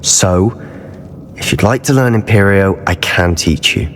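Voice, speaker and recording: A man's voice: deep voice, animated, a little slow, background noise. An actor playing an earnest, driven teenage male student of wizardry in a video game, explaining things intently and articulately. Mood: eager, persuasive. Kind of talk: dramatic video game voice acting. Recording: long cavernous reverb.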